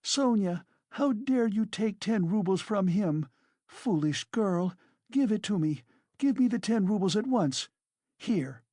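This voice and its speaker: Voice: medium pitch, very animated, unhurried, hardly any pauses, no room sound, studio quality, voice pushed up. A reader voicing a frantic, defiant widow who shrieks and accuses in hysterical fury, as an audiobook character.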